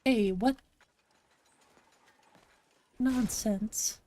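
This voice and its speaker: A woman from Montana with a gravelly voice, chatting casually to her live-stream audience while she plays a game, thinking aloud and answering chat. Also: a little high, drawn-out words, warm tone. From Montana, USA. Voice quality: gravelly, slightly raspy.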